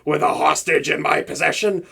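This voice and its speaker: A young man voicing a boastful but soft-hearted male supervillain in a comedic audio roleplay, theatrical and stammering when flustered. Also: fairly high pitch, fairly even intonation, moderate pace, gravelly, slightly rough, heavily acted.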